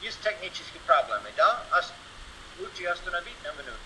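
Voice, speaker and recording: Halting American man, very bright sharp voice. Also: high-pitched, big pitch swings. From United States. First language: English. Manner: halting, broken non-native grammar, searching for words. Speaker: guest conference presenter. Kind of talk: informal conference talk in Russian as a second language. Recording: noisy online seminar audio.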